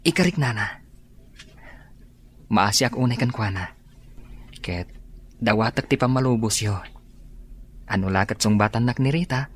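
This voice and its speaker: Carl, husky-voiced earnest suitor. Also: male voice, fairly low pitch, moderate pace, long pauses. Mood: respectful, hopeful. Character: Carl, earnest humble young suitor, respectful and devoted. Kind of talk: Ilocano radio drama acting.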